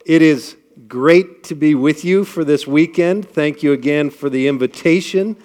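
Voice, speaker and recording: A man from the US Midwest with a big booming voice, leading an audience through an icebreaker at a live event and checking in with "all right?" as he goes. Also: unhurried. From Midwestern United States. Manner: energetic, upbeat crowd-rallying with frequent "all right?" checks. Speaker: event speaker leading the group. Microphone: amplified on a microphone.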